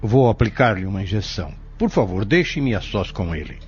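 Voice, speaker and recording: A Brazilian man playing a calm, reserved pharmacist in an audio drama, polite and measured with gentle authority. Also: lowish voice, soft background music. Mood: firm, polite. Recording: dull lo-fi audio.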